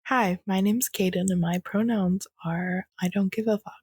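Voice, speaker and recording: A woman co-hosting a podcast in loose casual chat, with a giggly, excitable, irreverent manner. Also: mid-range voice, normal speed, slightly rough, no room sound, clean studio sound. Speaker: podcast co-host.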